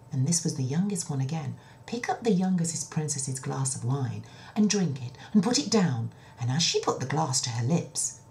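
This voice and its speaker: A woman telling a children's story in an expressive, dramatic way, with breathy dips toward a whisper and a chatty, confiding touch. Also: mid-range voice. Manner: chatty asides to the listener. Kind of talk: children's story narration, told in own words.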